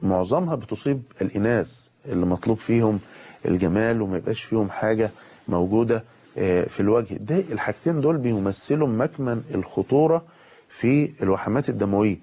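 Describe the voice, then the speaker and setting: A man with an Egyptian accent, a pediatric surgeon with a cold, giving a calm medical explanation with pauses and fillers. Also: fairly low pitch, breathy edge. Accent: Egyptian Arabic. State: has a cold.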